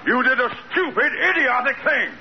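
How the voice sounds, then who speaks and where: An actor playing a blustery, falsely courteous bank president in an old radio comedy. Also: male voice, very high voice, a little fast. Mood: furious. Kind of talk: 1940s radio comedy acting. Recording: noisy, dull old radio recording.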